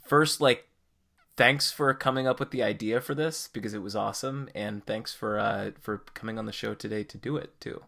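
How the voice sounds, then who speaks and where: A man's voice: on the low side, moderate pace, vocal fry, a bit bright. A man hosting a podcast in a casual, conversational style. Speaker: podcast host.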